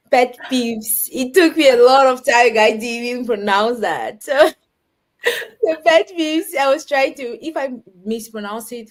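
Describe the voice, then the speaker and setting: Giggly woman from Cameroon. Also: on the high side. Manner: giggly, animated storytelling. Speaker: podcast guest. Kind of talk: casual chat.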